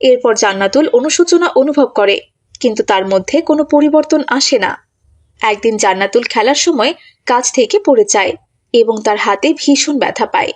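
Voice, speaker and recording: A woman's voice: on the high side, a little fast. A woman narrator reading a scripted moral story for children.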